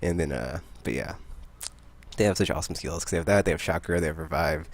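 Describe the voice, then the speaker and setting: Fast-talking, low-voiced man rambling about games. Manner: rambling, excitable, laughs often. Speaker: podcast host. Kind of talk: casual gaming chat.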